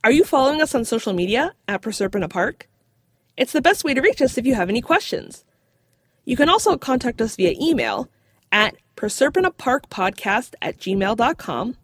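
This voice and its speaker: A woman's voice: sits high, no echo, studio quality. A woman reading a scripted podcast announcement.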